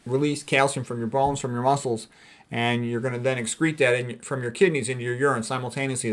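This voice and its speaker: A man speaking as a doctor giving an informal lecture, explaining things in a blunt, conversational way as he reads and comments. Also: mid-range voice.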